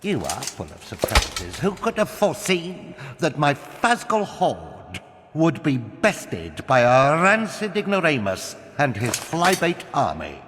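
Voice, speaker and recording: An actor playing a theatrical, gloating villain sorcerer in a video game, with a pompous, sneering and mocking delivery. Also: male voice, medium pitch, fairly bright tone, big-room sound, slight background noise, exaggerated voice. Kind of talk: video game cutscene acting.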